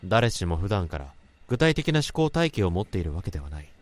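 A man's voice: deep voice, big pitch swings, a little fast. A man playing a brooding office worker who narrates his story in a somber, introspective radio drama. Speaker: narrator and lead. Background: music.

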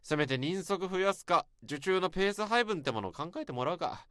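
An actor playing a blunt, rough-talking senior male office worker in a radio drama. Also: sits high, expressive, fairly quick, sharp tone, no room sound, studio quality. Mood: grumbling. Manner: blunt, gruff casual speech.

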